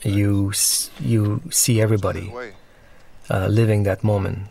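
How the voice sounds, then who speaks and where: A man giving unscripted commentary on his own film, talking in a conversational, reflective and slightly halting way. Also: fairly low pitch, level tone, creaky, warm tone. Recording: film soundtrack music playing behind. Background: film soundtrack music.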